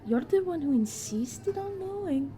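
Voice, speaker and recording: A woman's voice: extremely high pitch, lively intonation, measured pace, warm tone, a little hiss or hum. A woman playing a sassy, protective escort to a new vampire in an audio roleplay, teasing and caring in manner. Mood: teasing, exasperated. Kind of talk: narrative audio roleplay acting.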